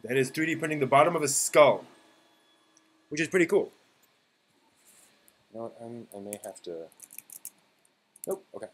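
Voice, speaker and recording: A man hosting a casual live stream, replying to viewers in a halting way that often trails off mid-thought. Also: medium pitch, expressive. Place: indoor room at home with printer running. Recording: roomy echo, faint buzzing 3D printer noise. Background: faint buzz of a 3D printer.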